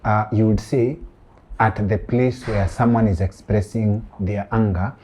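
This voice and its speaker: A man's voice: lowish voice, steady tone, measured pace, darkish tone, a little hiss or hum. A man hosting a podcast interview, asking probing questions and pressing his points. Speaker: podcast host.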